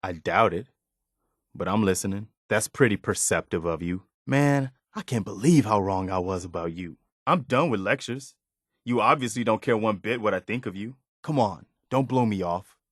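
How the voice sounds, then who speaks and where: A man's voice: on the low side, lively intonation, moderate pace, dry recording, studio quality. A male actor voicing an earnest, weary, loyal militia soldier in a video game, speaking in a plain-spoken, folksy way. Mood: bitter, reproachful. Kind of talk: dramatic video game dialogue.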